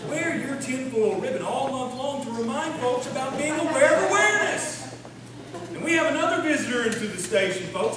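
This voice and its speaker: Folksy small-town radio emcee, played by a man, high-pitched, comic stage show, very echoey and noisy. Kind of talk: live comic radio-play acting. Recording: echoey hall from far off, noisy.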